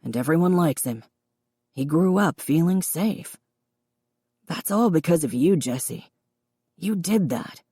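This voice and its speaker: Woman reading as a gentle, sorry older dad: reedy and quiet, lowish, dry sound. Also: expressive, measured pace, pauses often, breathy edge, dry recording, heavily acted. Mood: tender. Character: older father, remorseful, gentle, reedy-voiced.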